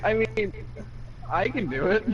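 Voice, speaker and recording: High, lively sarcastic man, crowd noise. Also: a little slow, dull audio. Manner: grumbling, sarcastic, swears. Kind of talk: casual voice chat.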